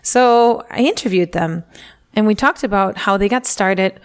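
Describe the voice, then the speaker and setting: Orta perdeden, dengeli bir sesle konuşan bir kadın sunucu; podcast açılışını neşeli, hazırlanmış bir metin gibi okuyor. Konuklarına sorularını hevesli ve samimi bir tonda yöneltiyor. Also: female voice, medium pitch, unhurried, smooth. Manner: upbeat, enthusiastic delivery. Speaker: podcast host. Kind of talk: scripted podcast intro and interview.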